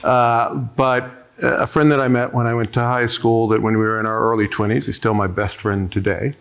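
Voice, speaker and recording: A man's voice: mid-range voice, level tone, normal speed, creaky, a bit bright, clear, resonant tone. Earnest middle-aged man on a panel. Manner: earnest storytelling with frequent "uh" fillers. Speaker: panelist, diversity executive. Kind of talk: conference panel speaking.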